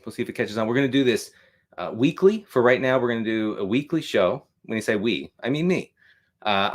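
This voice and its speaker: Rambling, low-voiced American man of forty. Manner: rambling, repeats himself, wry self-deprecating asides. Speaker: host of a live show on fatherhood. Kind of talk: casual livestream monologue.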